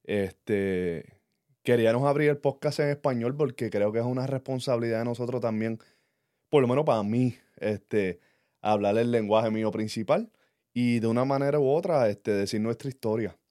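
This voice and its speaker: A man in his thirties with a Puerto Rican accent, co-hosting a podcast and talking in an earnest, reflective way, often pausing on fillers. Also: mid-range voice, mellow, no room sound, studio quality. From Puerto Rico. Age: 30s. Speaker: podcast co-host. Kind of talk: casual personal chat.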